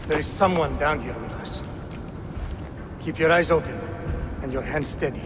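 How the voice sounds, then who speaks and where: A man's voice: a little high, normal speed, over music, close to an everyday voice. An actor playing a stern, commanding guard captain in a video game, curt and wary as he gives orders. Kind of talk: video game cutscene acting.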